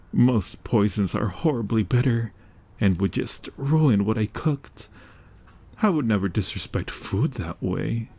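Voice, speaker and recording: A man acts out a young mafia boss in an intimate audio roleplay. His voice sits fairly low and has a husky grain. He takes his time, smooth-talking and teasing with smug, mock-sincere charm. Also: darkish tone, heavily acted. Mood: amused, reassuring. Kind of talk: ASMR boyfriend-style audio roleplay.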